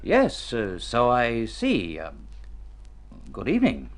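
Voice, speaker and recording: An actor in a vintage radio drama playing a courteous, confused man with memory loss who speaks in a halting, stammering way. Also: mid-range voice, wildly swooping pitch, heavily acted. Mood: uncertain. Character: bewildered, courteous amnesiac man, hesitant and evasive. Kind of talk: golden age radio drama acting.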